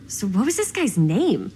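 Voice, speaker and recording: A woman's voice: medium pitch, sing-song, slow, dark tone, slight background noise. An actress playing a dramatic, sarcastic and inquisitive young American woman in a video game, talking in casual slang with a theatrical edge. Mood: curious. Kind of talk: naturalistic video game acting.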